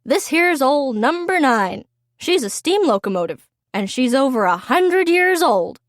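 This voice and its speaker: A kindly old-school railway man from a children's storybook, acted out by a woman. He talks in folksy, drawling phrases with a high, lively lilt. The pace is slow and the sound dry. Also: no room sound. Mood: friendly, proud. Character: folksy, kindly male steam train engineer.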